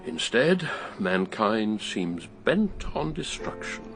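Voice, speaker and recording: An actor playing a grave, world-weary gentleman thinker in a radio drama, narrating in a solemn, deliberate, philosophical manner. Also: lowish voice, sing-song, gravelly, exaggerated voice. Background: music.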